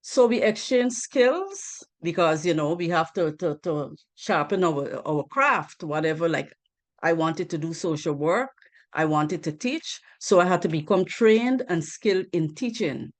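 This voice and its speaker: A woman's voice: lowish voice, expressive, unhurried. Woman from Guyana giving a presentation. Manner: earnest, repeats key points, asks rhetorical questions. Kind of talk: financial literacy presentation on a group call.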